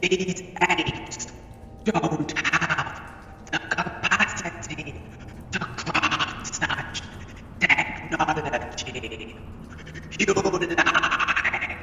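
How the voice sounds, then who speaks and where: A man voicing a haughty, suspicious non-human court judge in a fan audio drama, speaking in a pompous, halting, formal way. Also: a little high, lively intonation, sharp tone, slight background noise, heavily acted. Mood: scornful, doubting. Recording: echoey hall, distant, faint music behind.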